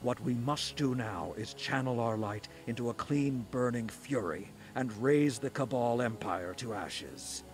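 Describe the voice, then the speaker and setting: An actor playing a grim, vengeful old warrior lord in a video game, grave and portentous, with music behind. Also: male voice, medium pitch, a little slow, few pauses, close to an everyday voice. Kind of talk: dramatic video game monologue.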